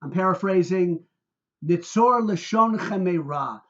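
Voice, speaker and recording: A man's voice: high voice, expressive, measured pace, lo-fi sound. A man speaking as a rabbi in a sermon-like religious talk, emphatic and heated, stacking up adjectives with dramatic stress.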